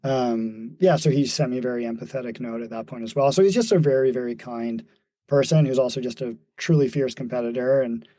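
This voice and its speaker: A man's voice: mid-range voice, brisk, dark tone, no room sound. A man in his forties, a podcast guest, talking reflectively in casual conversation, with many fillers and restarts. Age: 40s. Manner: thoughtful, many fillers and restarts, laughs easily. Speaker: podcast guest, mountain runner.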